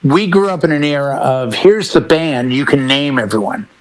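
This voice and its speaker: A man's voice: mid-range voice, lively intonation, moderate pace, poor audio. A middle-aged man chatting casually and emphatically about music, telling stories and giving firm opinions as a panelist. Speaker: talk show panelist.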